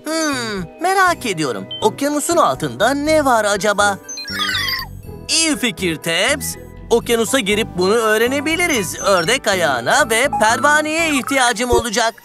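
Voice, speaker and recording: A woman's voice: on the high side, sing-song, normal speed, over music. A woman voicing a cheerful, curious young male cartoon adventurer who exclaims and explains things simply in a children's dub. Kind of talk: children's cartoon dubbing in Turkish.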